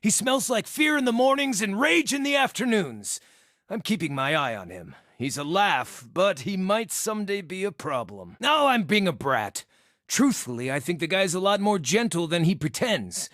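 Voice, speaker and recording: A cheeky wandering druid from a fantasy game, high-voiced for a man. His delivery swings widely in pitch, wry and theatrical, mixing florid phrases with plain colloquial talk. The sound is close and dry. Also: sing-song, normal speed, fairly bright tone, no echo. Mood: wry, teasing, then candid, guarded. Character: witty, cheeky, bawdy male wandering druid hunter. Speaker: video game companion voice actor. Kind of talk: expressive scripted fantasy game dialogue.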